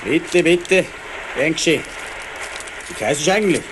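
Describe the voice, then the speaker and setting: A man playing a smooth-talking, shifty train passenger in a Swiss German children's radio play. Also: fairly high pitch, expressive, a little slow, background noise. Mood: oily, prying.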